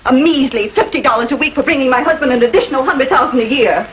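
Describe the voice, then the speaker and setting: An actress playing a defensive, haughty estranged wife in a 1940s filmed crime drama, fiercely protective and quick to take offence. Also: female voice, high-pitched, muffled recording, natural voice. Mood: indignant. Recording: noisy old 1940s film soundtrack.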